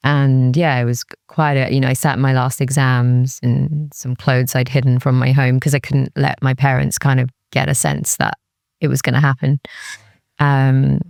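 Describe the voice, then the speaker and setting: A woman's voice: extremely low pitch, flat delivery, moderate pace, vocal fry, darkish tone, no echo, studio quality. A woman from Cardiff, Wales, speaking as an interview guest in a candid, serious, reflective conversational way, often quoting her own thoughts. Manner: candid, serious, peppered with "like" and quoted inner thoughts. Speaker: interview guest, music industry executive.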